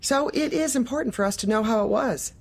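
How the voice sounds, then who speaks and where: A woman playing a manipulative, politely officious office manager who probes in a businesslike way, in a dark comedy audio drama. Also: on the high side, fairly quick, vocal fry, slightly rough. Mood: probing, businesslike. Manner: polite, probing, businesslike, bureaucratic.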